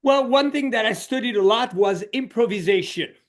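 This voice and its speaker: A man's voice: very high voice, moderate pace. A middle-aged man from Switzerland, a social coach guest, telling his story in a reflective way with many "you know"s. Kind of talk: conversational podcast interview.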